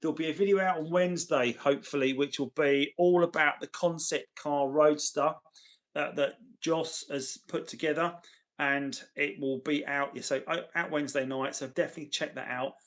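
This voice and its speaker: Tired man, slightly gravelly. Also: fairly high pitch, fairly even intonation, normal speed, a bit bright. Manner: chummy, grateful to viewers. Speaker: livestream host. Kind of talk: casual livestream chat, reading and answering viewer messages.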